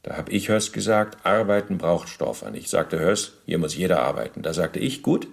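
A deep-voiced male bureaucrat under interrogation. His speech is gravelly and stiff, full of official phrasing. He justifies himself pedantically and pushes responsibility onto others, as in a documentary radio drama. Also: expressive, moderate pace. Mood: self-justifying.

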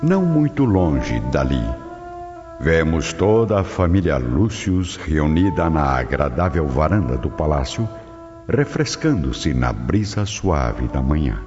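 Male narrator, extremely deep, widely swooping pitch, solemn theatrical radio drama delivery, reverberant. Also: sing-song, slow. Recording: long reverb, dull audio, music behind.

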